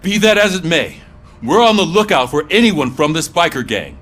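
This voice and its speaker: High-voiced, animated police commander. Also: male voice, moderate pace. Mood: stern, urgent. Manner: authoritative, businesslike. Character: no-nonsense police sergeant briefing his squad. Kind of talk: video game voice acting.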